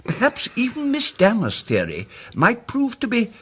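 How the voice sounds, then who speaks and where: A man acting a timid, apologetic but persistent English gentleman amateur sleuth in a radio mystery drama, speaking politely with hesitant, stammering starts. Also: very high-pitched, huge pitch swings, normal speed, slight background noise, full character voice. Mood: earnest, diffident.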